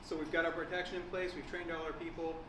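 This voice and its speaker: A man's voice: on the high side, level tone, quick pace, noisy background. A man moderating a panel at a lunch event, asking short prompting questions. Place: banquet room at a lunch event. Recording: echoey room from far off, lunch crowd.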